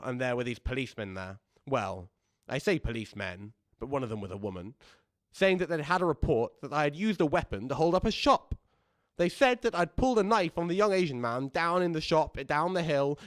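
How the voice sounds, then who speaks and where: A man's voice: medium pitch, no room sound, natural voice. Man acting a rambling, callous old widower, close and dry. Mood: aggrieved. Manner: rambling, pedantic, self-justifying. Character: callous, aggrieved old widower justifying his petty cruelty. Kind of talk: dramatic radio monologue, confiding storytelling.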